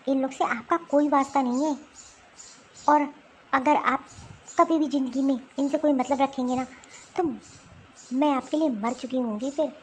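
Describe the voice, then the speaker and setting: A woman voicing an angry, sharp-tongued woman scolding relatives in a family drama cartoon. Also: high-pitched, slight background noise, poor audio. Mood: hurt, bitter. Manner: scolding, indignant. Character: angry, sharp-tongued Indian women quarrelling in a family feud.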